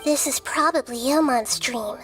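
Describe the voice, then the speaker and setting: A woman playing a small, wise, gentle childlike goddess in an anime video game, speaking in a calm, thoughtful, explanatory way. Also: high voice, moderate pace, close to an everyday voice. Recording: game music behind. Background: game music.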